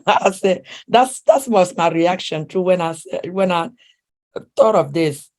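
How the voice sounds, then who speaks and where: Vrouw met een accent, warm en open. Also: female voice, lowish voice, slow. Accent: non-native English accent. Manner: earnest, with frequent restarts and soft laughs. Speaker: relationship coach. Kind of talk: conversational interview.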